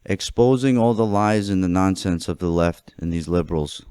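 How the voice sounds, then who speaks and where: A man from the United States delivers an opinionated political monologue, speaking deliberately and emphatically, phrase by phrase. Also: low-pitched, warm tone, clear, resonant tone, dry recording, clean studio sound. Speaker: political commentator.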